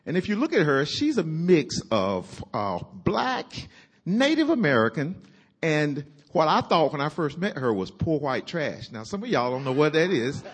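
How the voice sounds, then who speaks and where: A man from North Carolina introducing himself on a church panel, telling a joking story in an animated way. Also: fairly high pitch, moderate pace, husky. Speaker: panelist, pastor.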